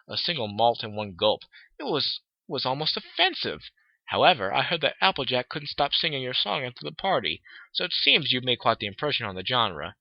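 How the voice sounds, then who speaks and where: A man's voice: mid-range voice, no room sound, dull audio. A man voicing a prim, posh female musician pony in a lilting, mock-refined tone as he reads her letter.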